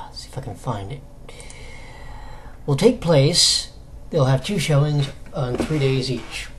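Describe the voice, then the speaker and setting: An American man giving casual fan news commentary as a solo video host, in a halting, conversational manner with rhetorical questions. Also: fairly high pitch. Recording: noticeable background noise.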